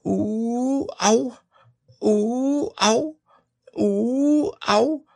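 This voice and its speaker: A man's voice: sits high, fairly even intonation, slow pace, gravelly, fairly bright tone, no room sound, studio quality, pitched above the performer's own voice, exaggerated voice. A man voicing a small, timid, anxious piglet in a storybook, jerky and indignant in his protests. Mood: frightened squeals. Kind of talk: storybook character reading.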